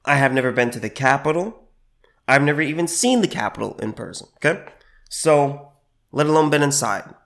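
Man, mid-pitched voice, under the weather, allergies, halting, repeats himself, lots of fillers, slows down for emphasis, solo podcast host. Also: lively intonation, gravelly, a bit bright. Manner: slows down to stress points.

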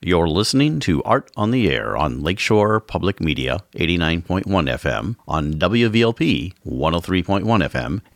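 A man hosting a public radio arts show, asking questions hesitantly with many pauses. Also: low-pitched, very animated, no room sound, studio quality. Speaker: radio host. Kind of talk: radio interview.

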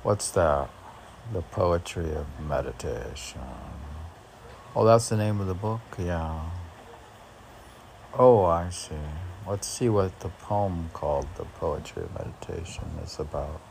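Male reader, unhurried and gravelly, musing informally aloud, slight background noise. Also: sits low, lively intonation, darkish tone, rough recording. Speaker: podcast host reading and commenting on poems. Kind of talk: informal read-aloud with casual musing commentary.

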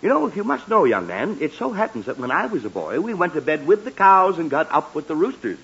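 A man's voice: a little high, very animated, a little fast, vocal fry, a bit bright, husky, heavily acted. An actor playing a flustered, irritable middle-aged father in a 1940s radio comedy, stammering and fussy. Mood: stern. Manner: stammering, exasperated, fussy delivery. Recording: dull, hissy old radio recording.

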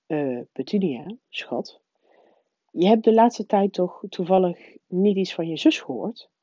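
Lady doing a jittery middle-aged husband in a storybook, mumbly and halting, soft mellow tone, dull sound. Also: high-pitched, no echo. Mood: nervous, hesitant. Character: nervous, hesitant middle-aged suburban husband, cautious and mumbling.

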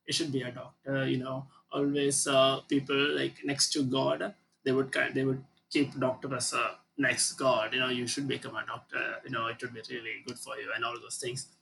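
A man's voice: medium pitch, level tone, normal speed, creaky, few pauses, a bit bright, slight background noise, rough recording. A man in his twenties with an Indian accent, talking casually and hesitantly as an interview guest. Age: 20s. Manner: hesitant, lots of fillers like "you know".

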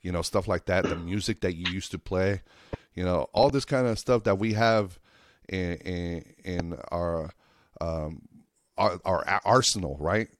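Male podcast comic, low register, dry sound; slangy, sweary, earnest storyteller with a loose rambling flow. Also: a little slow, dry recording. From United States, Lake Tahoe and Reno area of Nevada. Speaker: stand-up comedian. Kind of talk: casual podcast chat, anecdotal.

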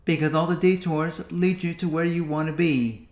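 A man hosting a solo podcast, wandering through his thoughts and pausing often. His voice sits a little high for a man, and it echoes off the walls of the room he is recording in. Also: steady tone. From United States. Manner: musing, self-talking, with long pauses. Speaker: podcast host. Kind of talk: solo reflective podcast monologue.

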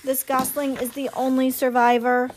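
A woman's voice: high-pitched, flat delivery, moderate pace, slight background noise, lightly acted. A woman voicing a friendly female robot in a storybook, speaking in a formal, precise, earnest way. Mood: flat, factual.

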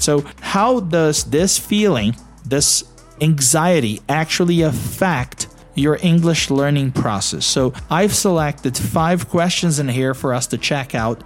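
Brazilian-accented man teaching English. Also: fairly high pitch. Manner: encouraging, asks listeners questions, frequent "you know" and "okay?". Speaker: English teacher and podcast host. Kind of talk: conversational teaching podcast.

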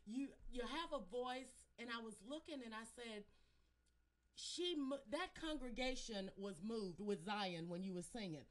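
A woman hosting a casual live-stream interview, talking in an animated, playful, exclamatory way and addressing her listeners. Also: fairly high pitch, moderate pace. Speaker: host.

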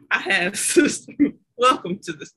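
A woman's voice: medium pitch, animated, measured pace, husky. Chatty Southern woman hosting a podcast. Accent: Southern American. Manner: warm, chatty, stumbles over words and laughs at herself. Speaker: podcast host. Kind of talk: casual faith podcast interview.

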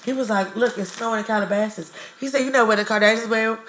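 A young woman co-hosting a casual chat, blunt and quick with her interjections, congested from allergies. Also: fairly high pitch, steady tone, fairly bright tone, echoey room, slight background noise, poor audio. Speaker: podcast co-host.

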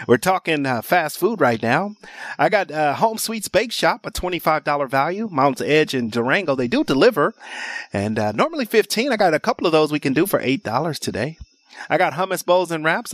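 A man's voice: on the high side, a bit bright, no echo. A man hosting a live radio shopping show from a studio, rattling off deals in an upbeat sales patter and repeating the call-in number. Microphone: studio microphone.

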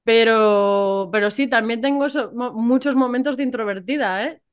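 High-voiced woman from a Spanish podcast. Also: moderate pace, clear, resonant tone. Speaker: podcast host. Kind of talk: casual podcast chat.

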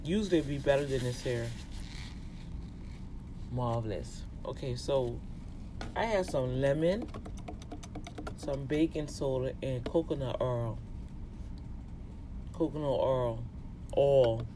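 A podcast host with a Louisiana accent who talks in a slow, deliberate teaching manner during a casual monologue. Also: medium pitch, background noise. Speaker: podcast host and tax office manager.

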